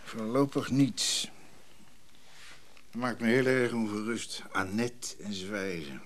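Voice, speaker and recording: An actor playing a hesitant, lonely, uneasy man in naturalistic radio drama dialogue, halting and tentative. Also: fairly low pitch. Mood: uneasy, hopeful.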